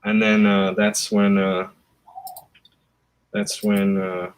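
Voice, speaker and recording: A man casually recounting a comic's plot, halting with fillers and restarts. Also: on the low side, monotone, clean tone. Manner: rambling, halting storytelling. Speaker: comic artist guest. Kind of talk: casual chat.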